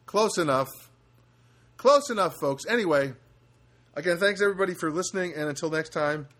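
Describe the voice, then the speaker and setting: A man's voice: on the high side, a bit bright. Rambling man hosting a podcast. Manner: rambling, halting with many fillers. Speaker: podcast host. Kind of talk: casual solo podcast monologue. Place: studio apartment.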